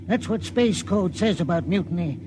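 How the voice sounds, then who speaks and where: A bitter, fatalistic crewman speaks high in an old-time radio space drama. The 1950s recording is clearly noisy. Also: animated, moderate pace.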